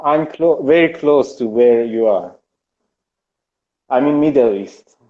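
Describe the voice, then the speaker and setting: Slow-speaking Iranian-accented man on a dull call. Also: mid-range voice, expressive. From Iran. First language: Persian. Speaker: interview guest, illustrator. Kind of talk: casual video-call chat. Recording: dull, lo-fi internet call audio.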